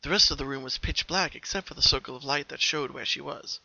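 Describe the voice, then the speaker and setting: A man's voice: medium pitch, fast talker, creaky, bright, forceful tone, dry recording, muffled recording. A man reading scripted story narration in a dramatic style. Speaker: narrator of fan fiction.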